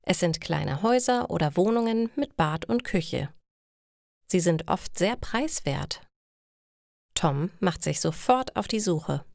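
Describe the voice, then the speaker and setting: A woman reading a simple scripted story for language learners in short, clear sentences. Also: fairly low pitch, animated, slow, a little mellow, dry recording, studio quality. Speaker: story narrator for German learners.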